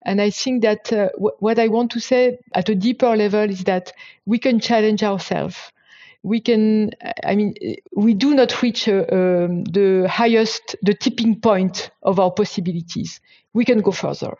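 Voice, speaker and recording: A woman's voice: medium pitch, normal speed, warm tone, lo-fi sound. A woman from France answering interview questions, hesitant, with many fillers and restarts. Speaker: guest.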